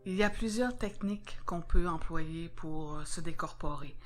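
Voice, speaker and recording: Calm Québécois woman presenter. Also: lowish voice, faint music behind. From Quebec. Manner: calm, measured, instructive. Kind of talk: scripted presentation.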